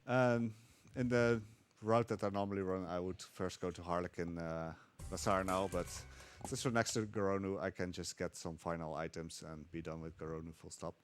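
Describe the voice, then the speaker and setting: A man with a Dutch accent talks through his speedrun in a casual, explaining way. His voice sits a little low. Faint game music plays behind him. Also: fairly even intonation, moderate pace, few pauses. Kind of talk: live speedrun commentary.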